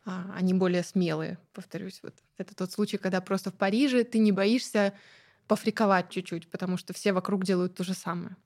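A woman guest talking in a relaxed interview, chatty and lively, with many fillers and light laughs. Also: on the low side, brisk. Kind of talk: casual conversational interview.

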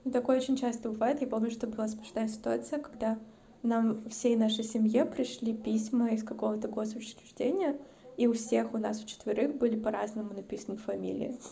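Podcast guest: woman from the North Caucasus, gravelly, mellow low tone, reflective and chatty. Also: steady tone, fairly quick. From North Caucasus, Russia. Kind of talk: casual chat.

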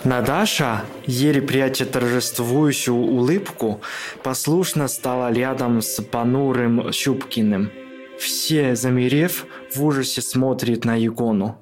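A man's voice: medium pitch, measured pace, faint music behind. A man who is a native Chinese speaker, reading scripted narration for a radio play.

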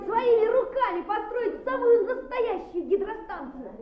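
An actress playing an eager, helpful village schoolboy in a radio play, earnest and enthusiastic. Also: female voice, very high-pitched, expressive, measured pace, reverberant, crowd noise. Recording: noisy, muffled old radio play.

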